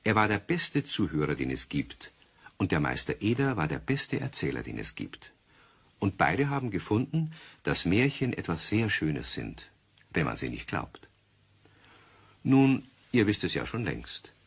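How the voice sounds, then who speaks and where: Very deep, husky storybook narrator. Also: male voice, very animated, unhurried, full character voice. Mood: playful, confiding. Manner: storytelling, gently humorous. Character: kindly storybook narrator addressing child listeners. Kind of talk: scripted children's radio play narration.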